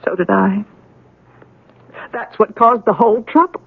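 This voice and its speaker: A woman's voice: medium pitch, measured pace, creaky, slightly rough, no echo, phone-quality sound. An actress playing a troubled, guilt-ridden young woman, bitter and resigned, in a 1970s radio drama. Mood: bitter regret.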